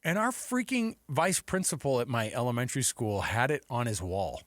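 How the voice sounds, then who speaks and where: A man's voice: medium pitch, big pitch swings, normal speed, fairly bright tone, no room sound. Middle-aged male sports radio host, dry studio sound. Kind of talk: casual sports talk radio chat.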